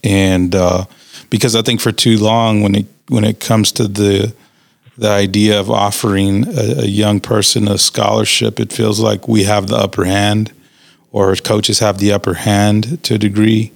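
A man's voice: lowish voice, little pitch movement, measured pace, vocal fry, no room sound. A man hosting an interview with coaches, talking casually and energetically with many "uh" fillers. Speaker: podcast host interviewing coaches.

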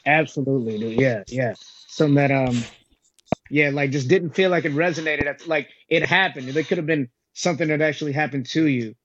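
A man's voice: a little high, a little fast, a bit bright. A man who does stand-up comedy, chatting casually on a podcast, agreeable and affirming, with slangy phrasing. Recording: dull lo-fi sound with background noise, clicks.

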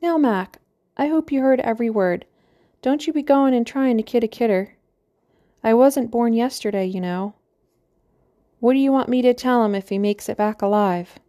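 A woman's voice: fairly high pitch, normal speed, warm tone, voice pushed up, lightly acted. A woman voicing a matronly, teasing small-town postmaster with a Midwestern accent and a chatty, joking manner.

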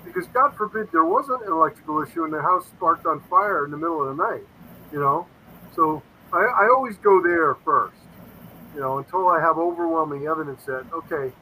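A man's voice: fairly high pitch, moderate pace, bright, forceful tone, slight background noise, low-quality recording. A man in his fifties telling stories in a casual interview, earnest and rambling, often quoting himself. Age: 50s. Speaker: paranormal investigator guest.

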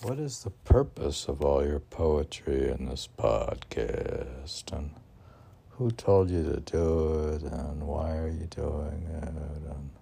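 A man's voice: extremely low pitch, creaky, dark tone. A man giving an informal reading of his own poetry with rambling asides, musing and questioning himself aloud as he repeats lines. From United States. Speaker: poet reading and commenting on own poems. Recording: faint background noise.

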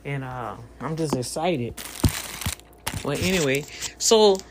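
A woman's voice: deep voice, animated, slow pace, noisy background. A woman in her fifties giving a casual motivational talk, conversational and preachy, speaking straight to her listeners. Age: 50s.

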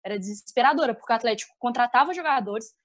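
Brief: woman, Minas Gerais accent, slightly high voice, animated and opinionated sports pundit on a video call. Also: very fast. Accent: Minas Gerais Portuguese. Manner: animated, opinionated, thinks aloud with restarts. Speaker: sports journalist guest. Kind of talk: casual video-call discussion.

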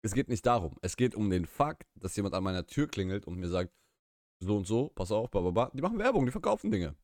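A man's voice: fairly low pitch, big pitch swings, quick pace, a little mellow, dry recording, clean studio sound. A young man whose first language is Russian, co-hosting a podcast in a casual, rambling, opinionated way with many restarts. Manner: rambling, self-correcting, opinionated. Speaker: podcast co-host. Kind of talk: casual chat. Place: small room, live stream.

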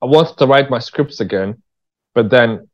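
A British man talks as an interview guest in a loose, slangy, candid style. He tells his stories conversationally, with ordinary pitch. The recording is slightly dull and lo-fi. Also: normal speed. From England. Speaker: interview guest, writer-director. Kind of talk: casual conversational storytelling.

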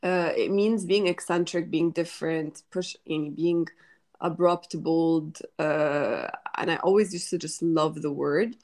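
Egyptian woman in her twenties, low-voiced. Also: fairly even intonation, a little slow, a little mellow. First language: Arabic. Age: 20s. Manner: thoughtful, mixes Arabic words into English, many restarts. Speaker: podcast host and writer. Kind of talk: casual interview talk.

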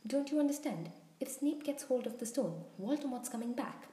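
A woman's voice: medium pitch, moderate pace, warm tone, close to an everyday voice. A woman voicing an impassioned, defiant schoolboy hero with urgent, insistent delivery in a storybook reading. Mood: angry, defiant. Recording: roomy, echoing sound.

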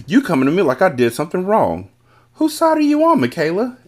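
A man's voice: on the high side, very animated, quick pace, pitched above the performer's own voice. A man voicing a furious, foul-mouthed, combative young woman in a story reading. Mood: indignant. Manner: combative, indignant, shouting profanities.

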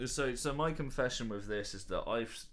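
A man co-hosting a podcast, reviewing albums in relaxed, rambling chat, his voice lower than usual after a night of drinking. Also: moderate pace, a crowd in the background. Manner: relaxed, rambling, repeats words when starting sentences. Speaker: podcast co-host. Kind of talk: casual music review chat.

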